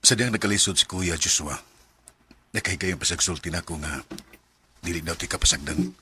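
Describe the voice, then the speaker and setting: An actor playing an earnest, shy young male suitor, gentle and hesitant, in a Filipino radio drama. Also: deep voice, fairly quick, lightly acted. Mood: concerned.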